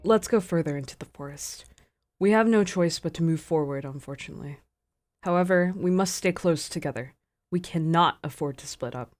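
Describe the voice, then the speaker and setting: A woman's voice: low-pitched, normal speed, darkish tone, close to an everyday voice. A woman playing a cautious, commanding spaceship captain in a radio drama, speaking with firm authority. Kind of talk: audio drama acting. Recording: music behind the voice.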